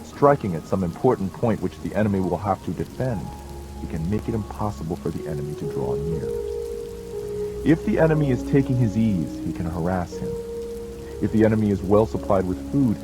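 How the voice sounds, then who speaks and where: Deep-voiced man narrating solemnly over music. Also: animated. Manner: solemn, measured, declamatory. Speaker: audiobook narrator. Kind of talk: dramatized scripted narration of an ancient treatise.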